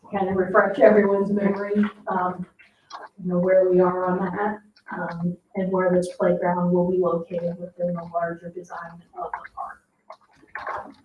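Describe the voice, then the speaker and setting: An American woman explaining things hesitantly as a town staff member presenting at a board meeting. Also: on the low side. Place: indoor municipal meeting room. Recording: echoey room, distant dull microphone, faint noise.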